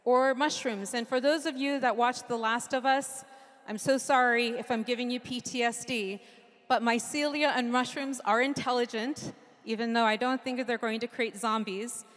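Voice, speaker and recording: A woman's voice: a little high, steady tone, moderate pace, a bit bright. A middle-aged woman from Japan giving a conference keynote into a handheld mic, earnest and lightly humorous, listing ideas in rhythmic runs. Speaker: keynote speaker. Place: large conference stage with audience. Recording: long hall reverb from a big venue.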